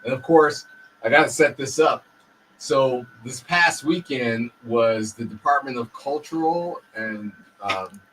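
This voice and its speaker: A man hosting a radio show from a studio, talking in a relaxed, measured way. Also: medium pitch, distant mic, slight background noise, rough recording. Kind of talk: casual radio chat.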